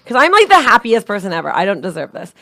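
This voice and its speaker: A woman comedian co-hosting a podcast, telling rambling, animated stories in casual chat and laughing at her own jokes. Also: mid-range voice, fast, creaky. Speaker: stand-up comedian, podcast co-host.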